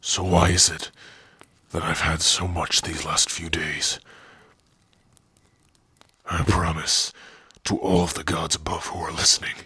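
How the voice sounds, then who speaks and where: Man voicing a husky, low-voiced tender orc. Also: flat delivery, no room sound, heavily acted. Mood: quiet, devoted. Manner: slow, halting, softly intimate. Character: big gruff tender orc warrior, shy and devoted. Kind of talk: ASMR roleplay. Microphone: close dry microphone.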